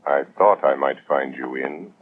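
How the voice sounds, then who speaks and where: Old muffled radio recording; unseen male avenger, extremely deep, slow, mocking menace. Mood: sly menace. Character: mocking invisible avenger taunting a villain. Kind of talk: 1930s radio acting. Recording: muffled, band-limited 1930s radio recording.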